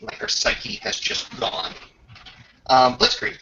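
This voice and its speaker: A man narrating a tabletop roleplaying game as game master, casual and descriptive, with a gravelly, husky voice. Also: slow pace, bright, forceful tone, a little hiss or hum. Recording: muffled lo-fi voice recording.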